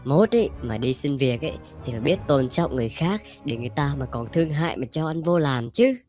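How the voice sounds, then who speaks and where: A man voicing a smug, mocking young company boss in an animated comedy skit, condescending and theatrical, with music behind. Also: fairly high pitch, quick pace, natural voice. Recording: background music under the voice.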